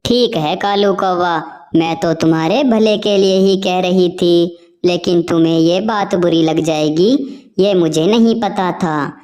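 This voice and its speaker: A woman's voice: fairly low pitch, a little slow, a little mellow, natural voice. A woman voicing a meddling, gossipy female sparrow in a cartoon, with a chiding, nosy way of talking. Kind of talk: cartoon voice acting.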